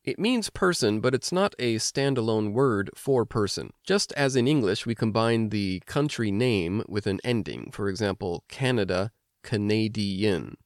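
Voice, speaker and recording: An American man hosting an instructional language podcast, explaining things clearly like a teacher. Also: lowish voice, lively intonation, a little slow, few pauses, dry recording, studio quality. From United States. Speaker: language lesson host and teacher. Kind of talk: instructional podcast narration with personal anecdotes.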